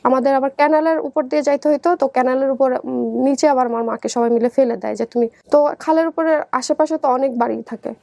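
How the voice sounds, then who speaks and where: A young adult woman with a Bangladeshi accent telling her life story in conversation, halting and often repeating herself. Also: high voice, fairly even intonation, gravelly, darkish tone, dry recording.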